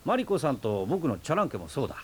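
An actor playing a calm, teasing man who lectures in a relaxed way about talk and existence, in a radio drama. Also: mid-range voice, fast talker, a little hiss or hum, lightly acted. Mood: musing. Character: easygoing philosophical man, fond of debate. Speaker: storyteller and friend of the aunt.

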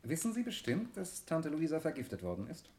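An actor playing a sceptical, argumentative stepson in a 1960s German radio play, questioning in a pointed, challenging way. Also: male voice, on the high side, huge pitch swings, a little fast, a little mellow, slight background noise. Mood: confident.

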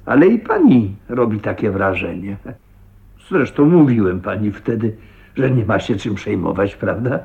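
Gravelly, genial older doctor. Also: male voice, lowish voice, very animated, normal speed. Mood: jovial. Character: genial, chatty older doctor, flustered and absent-minded. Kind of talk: radio drama acting. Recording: thin, phone-like old radio recording.

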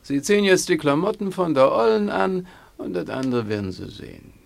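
A man's voice: a little high, sing-song, normal speed, creaky, exaggerated voice. An actor playing a mocking male crook who gives orders in a radio play. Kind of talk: German radio play acting.

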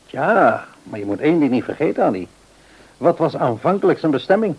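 An older father in a radio drama, husky and mid-pitched with expressive intonation. He comes across as kindly and practical, musing and reassuring. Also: brisk. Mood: reassuring, reasonable. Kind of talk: radio play acting.

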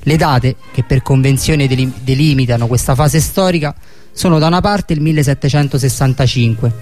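Man, medium pitch, scripted historical narration, music behind the voice. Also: a little fast, a little mellow.

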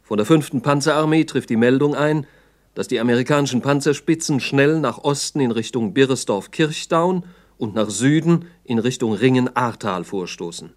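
Guy narrating an old 1960s radio drama like a military report, formal and factual, medium pitch. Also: moderate pace. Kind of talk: scripted documentary narration in 1960s radio play.

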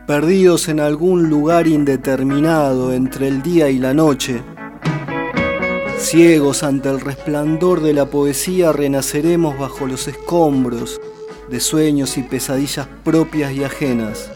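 A man's voice: a little high, steady tone, unhurried. A man reading a poetic scripted radio promo as an announcer. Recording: guitar music bed behind voice. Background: plucked guitar music.

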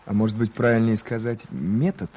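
A man acts a polite, inquisitive newspaperman in a radio drama. His voice is lowish, mellow and husky, and he puts his questions earnestly and a little tentatively. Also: fairly even intonation, moderate pace, natural voice. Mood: curious. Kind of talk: Soviet radio play acting.

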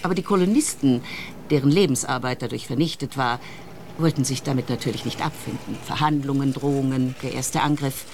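A courteous probing woman, really low voice, bit hesitant, faint noise behind. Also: a little fast, darkish tone. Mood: musing, hesitant. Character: polite, probing female interviewer, carefully conversational. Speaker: actress in a radio drama. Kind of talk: German radio play acting.

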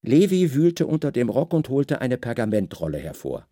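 A man narrating a literary radio drama in a hushed, deliberate, dramatic way. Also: medium pitch, big pitch swings, no room sound, studio quality.

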